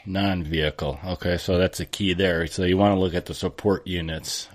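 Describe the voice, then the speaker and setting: A man's voice: sits low, fairly even intonation, normal speed. A man co-hosting a podcast in casual chat, teasing and reacting to his fellow speakers. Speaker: podcast co-host.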